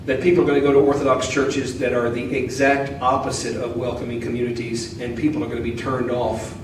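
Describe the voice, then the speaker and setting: A man's voice: mid-range voice, monotone, a little fast. A man with a Southern accent, an Orthodox priest answering audience questions in a folksy, joking, earnest way. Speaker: Orthodox priest, guest speaker. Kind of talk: casual Q&A talk. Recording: echoey hall, mic at a distance, faint noise.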